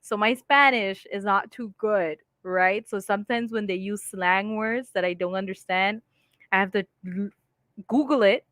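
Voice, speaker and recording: A woman's voice: medium pitch, a little slow, a bit bright, no echo, clean studio sound. A woman hosting a casual live stream from home, chatting and reading viewer comments aloud with frequent laughs. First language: English.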